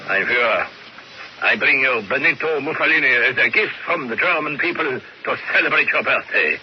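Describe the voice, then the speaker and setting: An actor playing a confident German SS commando leader in a radio drama, with a deliberate military delivery. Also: male voice, mid-range voice, lively intonation, close to an everyday voice. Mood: commanding. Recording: muffled radio recording with noise.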